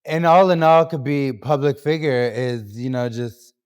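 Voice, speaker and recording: Smooth-voiced man, chatty podcast guest. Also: medium pitch, measured pace. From New Hampshire. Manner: loose, upbeat, many fillers and restarts. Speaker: guest artist. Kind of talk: casual podcast interview.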